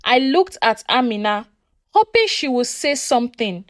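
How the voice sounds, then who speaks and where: A woman with a Nigerian accent narrating a first-person folktale in an expressive, deliberate, emotive way. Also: fairly high pitch, no echo, studio quality. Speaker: storyteller.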